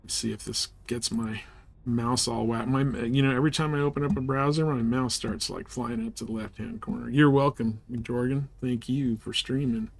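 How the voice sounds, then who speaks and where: A man's voice: mid-range voice, fairly even intonation, normal speed, vocal fry. A man chatting casually as a live game streamer, easygoing and often half muttering to himself as he goes.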